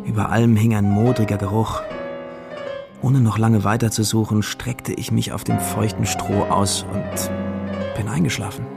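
A man's voice: on the low side, little pitch movement, moderate pace, gravelly. An actor playing a young male wanderer who recounts his journey reflectively in a first-person radio drama. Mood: lost, weary. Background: music.

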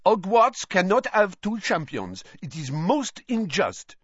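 A lofty headmistress speaks in a high, indignant voice, put on by a male audiobook narrator. She sounds haughty and affronted. The recording is dry and a little dull. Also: huge pitch swings, slow, fairly bright tone, breathy edge, no room sound, full character voice. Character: imperious French headmistress, woman, giant.

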